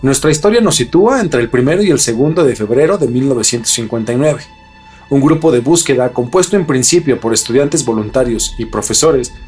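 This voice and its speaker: A man hosts a podcast, reading scripted mystery narration with dramatic, deliberate phrasing. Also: mid-range voice, darkish tone, husky. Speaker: podcast host.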